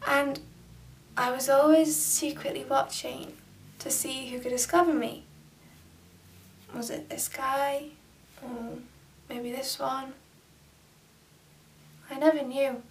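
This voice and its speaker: A woman playing a dreamy, hopeful young woman who tells her story softly and wistfully, recorded from a distance. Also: sits high, fairly even intonation, slow pace, long pauses, a little hiss or hum. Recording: distant camera mic.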